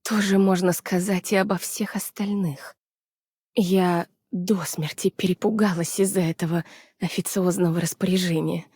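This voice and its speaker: Weary young female game scientist, anxious, soft breathy husky voice, slightly low, hesitant and subdued delivery. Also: steady tone, normal speed, dry recording, clean studio sound. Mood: grateful, subdued. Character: weary, anxious, withdrawn young woman scientist from the frozen north. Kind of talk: Russian dub of game character voice lines.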